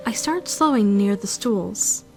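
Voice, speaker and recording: A woman's voice: mid-range voice, animated, unhurried, faint music behind. A woman voicing a prim, socially awkward young trainee who narrates a visual novel fan dub in formal, bookish language. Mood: tipsy, musing.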